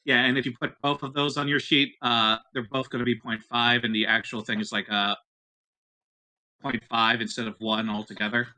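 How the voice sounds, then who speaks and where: A man's voice: mid-range voice, level tone, moderate pace, pushed and bright, no echo, rough recording. A man playing a tabletop roleplaying game, explaining things casually. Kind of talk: casual game talk mixed with in-character dialogue.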